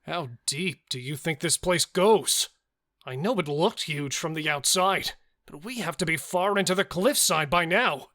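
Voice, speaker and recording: A man's voice: on the high side, a little slow, pushed and bright. An actor playing an anxious, sweary male killer in a horror audio drama, with jumpy, exclamatory delivery. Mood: wary, uneasy.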